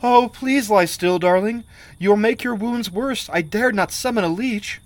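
Tender pleading young woman, read by a man, soft and higher-pitched. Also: unhurried, no echo.

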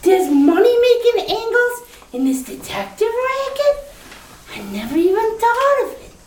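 A woman voicing a brassy, wisecracking street gal in an old-time radio play, very high and squeaky and swooping all over. She drawls slowly, a bit off mic in an echoey room. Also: very animated, a little mellow, far from the mic, heavily acted. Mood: brash. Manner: slangy, brash, wisecracking. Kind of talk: retro radio drama acting.

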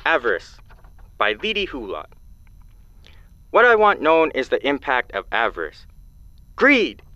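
An actor playing an aggrieved, free-thinking amateur poet calling in to a show in an audio drama, with a theatrical, slangy delivery. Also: male voice, medium pitch, bright, forceful tone, dry recording, lo-fi sound.

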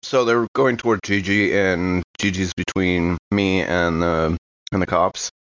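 A man's voice: sits low, steady tone, a little slow, fairly bright tone, lo-fi sound. A man playing a tabletop game, chatting casually about what his character does.

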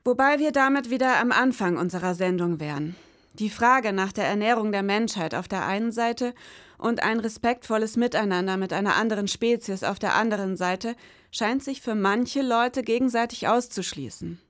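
A woman's voice: mid-range voice, normal speed, no room sound, clean studio sound. A woman co-hosting an investigative podcast in scripted dialogue.

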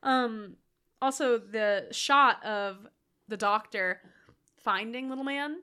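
A woman's voice: a little high, takes it slowly, pushed and bright, clean tone. A woman co-hosting a casual film-review chat, sounding stuffy and nasal, giggling and gushing with lots of "like" and restarts. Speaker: podcast co-host.

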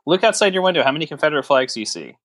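A man's voice: a little high, big pitch swings, rapid-fire, a bit bright, no echo, studio quality. A man co-hosting a casual podcast, joking in a sarcastic, teasing and mock-grandiose way. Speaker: podcast co-host. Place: recorded remotely over a voice chat app.

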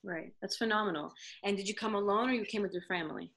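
A woman's voice: medium pitch, fast talker, a bit bright. A woman hosting a conversational interview in an encouraging, affirming manner. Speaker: interviewer, host.

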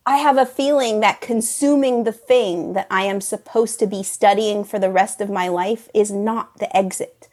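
A woman's voice: medium pitch, steady tone, moderate pace, hardly any pauses, a little mellow, lightly acted. A woman playing a jaded, restless teacher at a magic school in an improvised comedy sketch, dry and analytical. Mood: resigned.

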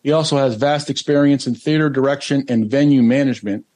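A male podcast host talks in a medium-pitched voice. He introduces and interviews in a casual, conversational way. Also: fairly quick, a little mellow.

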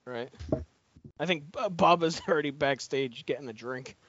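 A man's voice: on the high side, moderate pace, fairly bright tone, lo-fi sound, a little hiss or hum. A man co-hosting a casual watch-along, cracking jokes and laughing often. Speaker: podcast co-host.

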